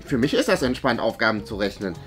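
Man acting pedantic nerd pupil, swooping pitch. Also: big pitch swings, fast talker, a bit bright, soft background music. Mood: smug, eager. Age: young adult. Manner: pedantic, self-satisfied. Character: smug, eager homework-loving nerd schoolboy. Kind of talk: comedy sketch acting. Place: indoor room, music behind.

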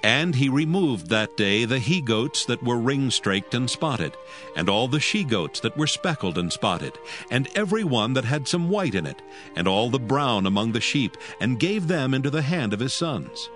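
Animated male Bible narrator. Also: medium pitch, moderate pace, faint music behind.

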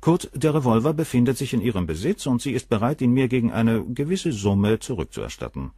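An actor playing a cool, arrogant, middle-aged surgeon who talks down about the women around him, in a radio play. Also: male voice, medium pitch, very animated, fairly quick, dark tone, slightly rough, dry recording. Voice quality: cool, cynical, dismissive.

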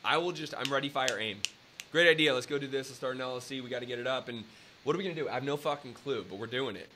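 A man in his thirties hosting an interview over webcam, talking in a casual, energetic pep-talk style with swearing. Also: medium pitch, fast talker. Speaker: interview host. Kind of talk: casual podcast chat. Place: indoor room with brick wall. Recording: faint hiss on video call audio.

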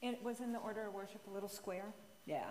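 Middle-aged female homilist, mid-pitched, reading from a script at a pulpit microphone, long church reverb. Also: steady tone, quick pace, darkish tone, a little hiss or hum. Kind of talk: homily read aloud as a letter. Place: large church sanctuary, at the pulpit. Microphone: gooseneck pulpit microphone.

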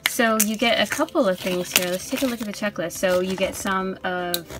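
A woman's voice: medium pitch, moderate pace, heavy background noise. A young adult woman casually hosting a toy unboxing, chatting in a chirpy, gushing way about cute things. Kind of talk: casual unboxing review chat. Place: indoors at a tabletop display. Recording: background music under voice. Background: music.

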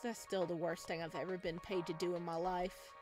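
A woman streaming a visual novel, reading aloud and reacting in a playful, dramatic, expressive way. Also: lowish voice, a little fast. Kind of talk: let's play, reading game narration aloud with reactions. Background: game music.

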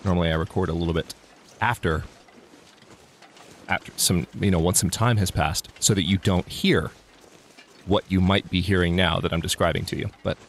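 A man's voice: sits low, steady tone, normal speed, long pauses, slight background noise. A man casually narrating his own gameplay as a let's play host, thinking aloud with restarts, sounding slightly congested.

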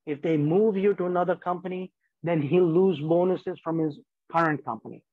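Man in his fifties, insurance speaker, fairly high voice, animated lecture delivery over a dull, dry webcam line. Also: normal speed, no room sound. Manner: animated, gestures widely, works through money examples step by step. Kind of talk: lecture on estate planning to a community group. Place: small room at home, plain wall behind. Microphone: laptop webcam on a video call. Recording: dry, slightly dull video-call audio.

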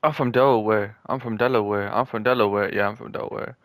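A man's voice: lowish voice, fairly even intonation, fast, a bit bright, dry recording. A young man in casual gaming chat with a friend, joking and trash-talking and often repeating his words. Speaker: gamer.

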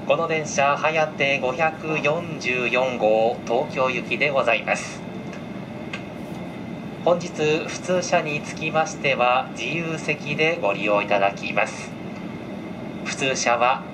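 A male train conductor speaks at a middle pitch in formal, courteous announcement style. His measured words come over the car's PA, with clear ventilation and vehicle noise around them. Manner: very polite, measured, formal phrasing. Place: inside a train car. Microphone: camera picking up cabin loudspeaker.

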